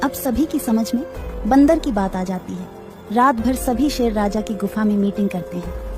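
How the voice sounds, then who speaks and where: A woman's voice: medium pitch, moderate pace. A woman giving scripted narration of a children's animal story, with music behind her.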